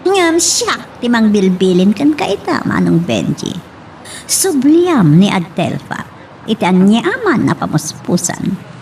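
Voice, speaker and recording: A woman's voice: mid-range voice, very animated, slow pace, a little mellow. A woman playing a spoiled, scheming young rich woman who taunts and orders in an Ilocano audio drama, with an Ilocano accent. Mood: bossy, coaxing. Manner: taunting, imperious. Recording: clear background hiss and noise.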